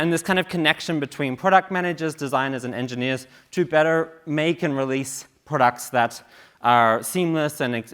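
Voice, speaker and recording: A man's voice: on the high side, fairly bright tone. Joking man on a conference stage. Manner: joking, chatty, many fillers. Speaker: conference speaker. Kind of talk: casual joking stage talk. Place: large conference hall on stage. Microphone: stage mic.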